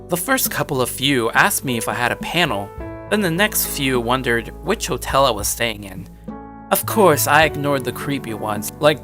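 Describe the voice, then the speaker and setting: A man tells a first-person story in a relaxed, chatty voice, his pitch rising and falling all over the place. He drops wry little asides, and clear music plays behind him. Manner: casual, conversational, wry asides. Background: background music.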